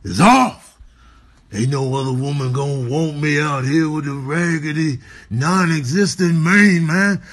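A man's voice: fairly high pitch, a bit bright, dry recording. A man voicing a whiny, lovelorn male lion in a comedic animal voiceover, pleading and drawing out his words. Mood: heartbroken. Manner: pleading, drawn-out wailing.

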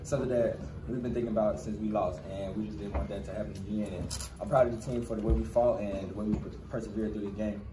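A young man in his twenties, a college football player answering questions at a post-game press conference, talking quickly in relaxed slang and repeating phrases. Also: fairly low pitch. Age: 20s. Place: crowded press room indoors. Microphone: cluster of handheld press mics. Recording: roomy distant press room with noise.

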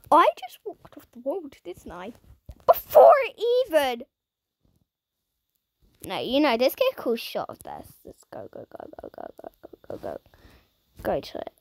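A woman narrates her video game in a high, croaky voice that leaps all over the place. She talks slowly and dramatically, swinging between near-shouts and hushed asides. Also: wildly swooping pitch, dry recording. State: croaky, strained voice. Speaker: gaming YouTuber. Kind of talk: playful game commentary.

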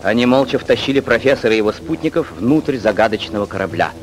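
A man's voice: medium pitch, lively intonation, fast, soft background music, natural voice, poor audio. A man narrating a sea adventure radio play in a dramatic, scripted storybook style. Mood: suspenseful.

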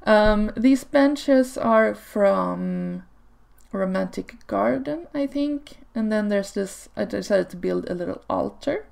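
Gravelly-voiced woman chatting over gameplay. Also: mid-range voice, slow. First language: Swedish. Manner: relaxed and chatty, with frequent ums and small laughs. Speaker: video creator. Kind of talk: casual voice-over commentary on gameplay. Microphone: home desk microphone.

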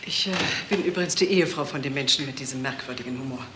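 Worried, well-bred wife, echoing. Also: female voice, a bass voice, fast talker. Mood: alarmed, worried. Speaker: actor. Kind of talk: German crime radio play dialogue. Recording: echoey room, loud background noise.

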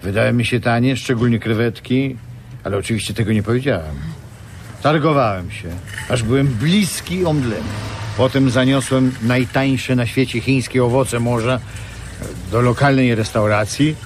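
An actor playing a wry, hesitant small shopkeeper in a radio drama. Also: male voice, medium pitch, normal speed, slight background noise, close to an everyday voice.

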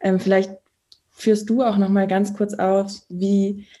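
A woman moderates a panel over a video call and puts long, probing questions to the guests. Her voice is warm and mellow. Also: mid-range voice, little pitch movement, slow pace.

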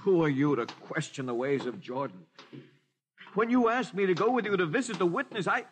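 A man's voice: sits high, animated, a little fast, slight background noise. An actor playing an anxious, pious young man in a 1950s radio drama, nervous and pleading. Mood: reproachful. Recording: vintage radio drama with music.

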